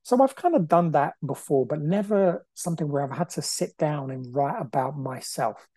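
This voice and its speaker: Earnest man in his forties from Brazil, Portuguese his first language; mellow voice, dry close mic, unhurried podcast monologue. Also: fairly high pitch, expressive, dry recording. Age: 40s. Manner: earnest, repeats phrases, frequent "you know". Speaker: podcast host. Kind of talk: casual reflective monologue. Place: at home on the sofa.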